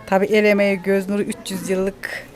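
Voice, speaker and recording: A woman's voice: medium pitch, flat delivery, a little fast, faint music behind. A woman farm owner answering casually in an interview outdoors on her farm. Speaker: farm owner and event host.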